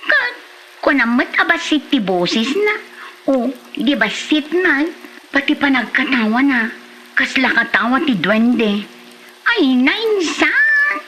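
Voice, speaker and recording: An actress plays a fussy young woman in an Ilocano comedy drama. Her voice is high and lively with an Ilocano accent, recorded over clear background noise. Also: full character voice.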